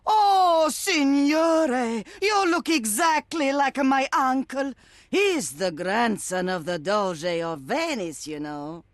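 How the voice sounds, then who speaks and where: Excitable shopkeeper. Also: female voice, high voice, slow, no echo, studio quality, exaggerated voice. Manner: fussy, excitable. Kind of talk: video game voice acting.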